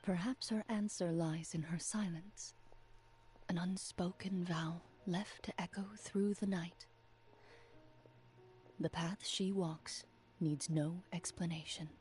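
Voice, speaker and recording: An actress playing a composed, reserved young female duelist in a game dub, speaking in measured, formal lines over music. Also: lowish voice, steady tone, a little mellow. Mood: quiet, solemn. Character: composed, stoic young woman, duelist and monster hunter. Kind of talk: English game dub acting.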